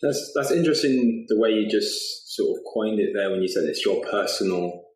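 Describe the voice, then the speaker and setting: A man with a deep voice co-hosts a podcast, asking questions casually. He sounds roomy and some distance from the mic. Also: animated, moderate pace. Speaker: podcast co-host.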